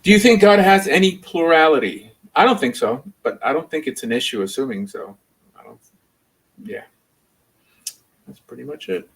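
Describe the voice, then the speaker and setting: A man's voice: fairly high pitch, animated, moderate pace, long pauses, slightly rough, poor audio. A man speaking casually as a rabbi and livestream host, rambling and opinionated in an informal way. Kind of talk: casual livestream chat and debate.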